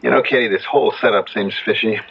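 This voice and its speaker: Low-voiced young husband. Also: male voice, fast, gravelly, breathy edge, lo-fi sound. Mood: suspicious. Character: cheerful young city-bred husband, practical, suspicious sceptic. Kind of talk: 1970s radio drama acting. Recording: band-limited, noisy old radio recording.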